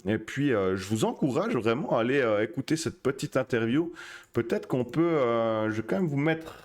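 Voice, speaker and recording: A man's voice: medium pitch, animated, normal speed, a little mellow. Hesitant male streamer. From Switzerland. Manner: hesitant, many fillers and restarts. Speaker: poker streamer host. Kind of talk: casual live-stream chat.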